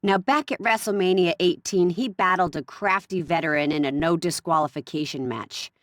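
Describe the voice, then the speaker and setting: An actress playing a businesslike woman who directs a wrestler in a video game story scene, brisk and directive. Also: on the low side, no echo, clean studio sound, close to an everyday voice.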